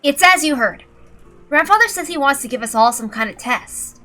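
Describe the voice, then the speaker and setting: Woman as a polite, dutiful young man, bright-toned. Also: high voice, expressive, soft background music, pitched above the performer's own voice. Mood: calm, dutiful. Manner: measured, deferential, reasoning.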